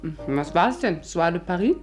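A woman's voice: lowish voice, expressive, moderate pace, soft background music, close to an everyday voice. An actress playing a witty, flippant young woman in a 1950s radio play, with a playful, dry, teasing manner. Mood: dry, unconcerned. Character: witty, flippant, reluctant young sweetheart of sleuth.

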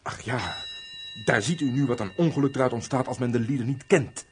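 A male actor playing a fussy, nosy, self-important castle steward in a Dutch radio play, with a husky, blustering, obsequious delivery. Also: medium pitch, a little fast, noisy background, natural voice. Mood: grumbling. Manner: flustered, obsequious about nobility, blustering at underlings.

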